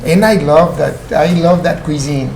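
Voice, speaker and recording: A man's voice: a little high, moderate pace, vocal fry, darkish tone. A man from Mexico with a Mexican accent, a chef talking casually in an interview, hesitant with many fillers. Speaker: chef and restaurant co-owner. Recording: echoey room with background noise.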